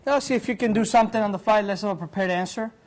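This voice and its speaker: Male seminar speaker in his 40s with a fairly high voice, lively and audience-quizzing, recorded from across a conference room. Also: rapid-fire, background noise. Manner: animated, walks about and gestures, quizzes the audience. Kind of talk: interactive career seminar talk. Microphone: far camera, room mic.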